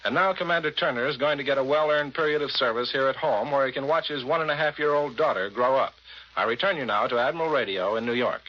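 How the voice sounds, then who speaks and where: A man's voice: mid-range voice, fast talker, dull audio. An American man working as a radio news reporter and interviewer, in scripted 1940s broadcast style.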